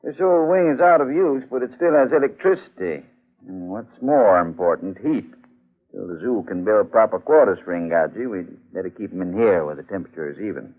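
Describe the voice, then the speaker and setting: A cautious, wry professor in a vintage radio play. His lowish voice is authoritative yet animated, on a tinny narrow-band recording. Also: fairly quick. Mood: genial, wry. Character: calm, cautious, dryly witty male museum professor. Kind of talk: old-time radio drama acting.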